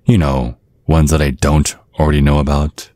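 A man plays a genteel, menacing old vampire dad in an ASMR roleplay. His voice is extremely low and recorded very dry and close. He talks down to his daughter's suitor with polished, sly threat. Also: level tone, moderate pace, mellow, no room sound. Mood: veiled threat. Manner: slyly threatening, condescending, mock-polite. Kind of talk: ASMR roleplay, scripted monologue.

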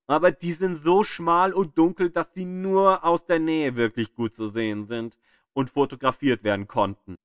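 A man reading scripted educational narration in a measured, storytelling way with dramatic emphasis. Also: fairly high pitch, a bit bright, clean tone, no room sound, clean studio sound. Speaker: narrator of an audio tour of the solar system. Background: music.

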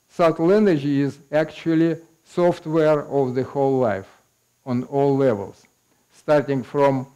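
Slow older man with Russian accent. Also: fairly high pitch, dark tone, clean tone, low-quality recording. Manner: unhurried, explanatory, with occasional laughs. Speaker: guest lecturer. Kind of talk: public lecture. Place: small meeting room with audience. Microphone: headset mic.

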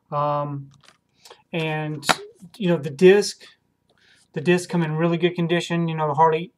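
A man's voice: on the high side, a little hiss or hum. A man in his thirties casually showing off used film purchases at home, hesitant, with many ums and restarts, into a desk webcam. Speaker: video host, collector. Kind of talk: casual haul video. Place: home room with shelves of films.

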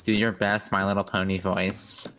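A man's voice: sits low, little pitch movement, normal speed. A young man chatting casually with teammates during a game. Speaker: gamer, teammate. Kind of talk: casual gaming voice chat.

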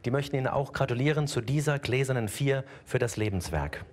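A man's voice: mid-range voice, brisk, slight background noise. A middle-aged male host chatting with his guest on a studio stage. He talks casually and restarts his sentences now and then, holding a handheld mic. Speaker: TV show host. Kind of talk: award show interview. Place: dark TV studio with seated audience.

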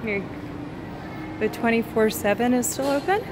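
A woman filming a walking vlog through an empty mall on her phone, musing aloud in a wistful way with soft hums. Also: on the high side, lively intonation, moderate pace, music in the background. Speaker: vlogger. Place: inside an empty shopping mall. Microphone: phone camera held while walking.

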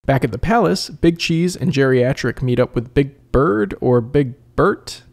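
A man's voice: mid-range voice, expressive, measured pace, vocal fry, darkish tone. A man narrating a scripted review as a video host, conversational with wry asides.

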